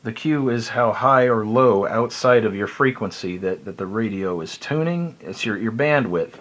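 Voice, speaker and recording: Low-voiced man rambling through a hobby explainer. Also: expressive, moderate pace, fairly bright tone. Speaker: hobbyist video presenter. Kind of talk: casual unscripted hobby explainer.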